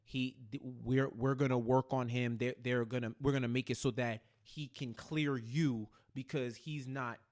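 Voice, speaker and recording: A man co-hosting a casual recap chat, speaking in a halting way and repeating phrases as he thinks aloud. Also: medium pitch, fairly even intonation, darkish tone. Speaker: podcast co-host.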